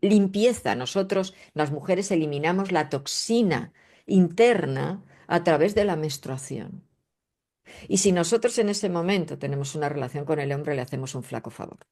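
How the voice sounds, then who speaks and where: Low-voiced, emphatic woman from Spain explaining. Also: lively intonation, normal speed, dark tone. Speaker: guest, astrologer and spiritual researcher. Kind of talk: conversational interview explanation.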